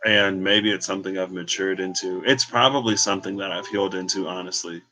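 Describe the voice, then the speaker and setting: A man's voice: deep voice, fairly even intonation, a little fast, sharp tone. A man in his late twenties, talking candidly and reflectively while reading cards, laughing now and then. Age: 20s. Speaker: tarot reader. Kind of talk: casual spoken card reading.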